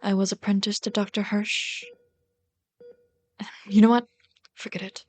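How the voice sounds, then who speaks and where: A woman's voice: medium pitch, little pitch movement, measured pace, lightly acted. A woman playing a bitter but caring villain queen in an ASMR roleplay, scolding and sardonic, often exasperated. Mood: hurt, bitter. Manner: scolding, sardonic, exasperated, dropping to hushed asides. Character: bitter, caring female villain rat queen tending a captive sidekick. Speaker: voice actor in an audio roleplay.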